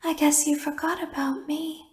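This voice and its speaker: A woman's voice: high-pitched, level tone, normal speed, reverberant, lightly acted. A woman voicing a creepy, mocking animatronic in a horror game. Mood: taunting.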